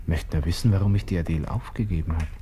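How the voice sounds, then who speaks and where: A male actor plays a young Austrian lieutenant in an old radio drama, brooding and cynical as he thinks aloud. His Viennese voice is low and mellow, musing with dry irony. A faint hiss of an old recording sits behind him. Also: very fast, close to an everyday voice. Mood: wistful, bitter. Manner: musing to himself, murmured, wry. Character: melancholy, cynical young Austrian army lieutenant facing death. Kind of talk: 1960s radio play interior monologue.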